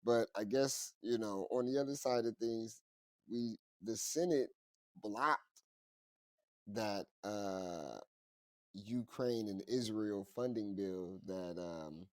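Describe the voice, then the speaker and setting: A man co-hosting a casual podcast chat, talking in an animated, profane way, breaking into laughs and reading news aloud. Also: fairly low pitch, no room sound. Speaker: podcast co-host.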